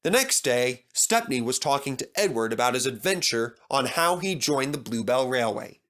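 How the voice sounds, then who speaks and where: A man's voice: mid-range voice, animated, normal speed, a bit bright. A man narrating a scripted children's story as storyteller.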